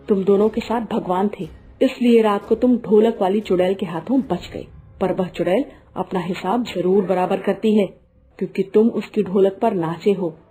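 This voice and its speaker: An old village woman in a cartoon tale, played by a woman, earnest and full of caution. Her voice is soft-toned and mellow over light music. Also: medium pitch, husky, lightly acted, rough recording. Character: concerned old village woman giving a warning. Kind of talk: cartoon story acting.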